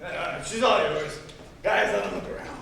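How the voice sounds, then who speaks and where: A man playing a mocking, leering, hard-drinking gang leader in a stage play, with a gravelly voice and a taunting drawl. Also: fairly high pitch, sing-song, moderate pace, a crowd in the background. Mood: sly, over-friendly. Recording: echoey, noisy stage recording from far off.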